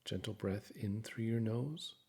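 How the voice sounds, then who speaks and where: Deep, gravelly man guiding a meditation. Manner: calm, soothing, measured with frequent pauses. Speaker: guided meditation narrator. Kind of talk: guided meditation, gentle scripted narration.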